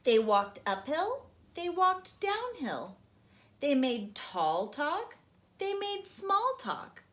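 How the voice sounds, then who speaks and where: Slow, expressive woman reading a picture book. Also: high voice, slight background noise. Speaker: storytime host and reader. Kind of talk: children's read-aloud narration.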